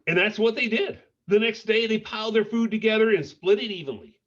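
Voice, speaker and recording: A man reading a picture book aloud to children in an expressive way, pausing to put questions to his listeners. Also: high-pitched, dull audio. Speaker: school principal reading to children.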